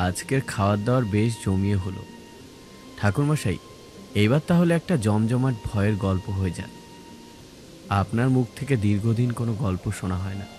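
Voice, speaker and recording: A man's voice: on the low side, darkish tone, natural voice. A man acting in an audio story as an eager, familiar listener coaxing an elder for a scary tale.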